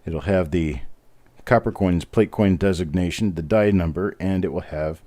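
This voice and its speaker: Deep-voiced, dark-toned man hosting casually. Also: normal speed, dry recording. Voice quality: deep smooth voice. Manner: relaxed, chatty, self-deprecating jokes. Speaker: host of a live coin roll reveal. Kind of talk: casual live-stream commentary.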